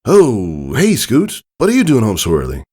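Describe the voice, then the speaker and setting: An easygoing pony father from a storybook, acted by a man. He fusses and nags gently in a casual fatherly way. His voice is pitched up and bounces widely. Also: wildly swooping pitch, moderate pace, husky. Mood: nervous surprise.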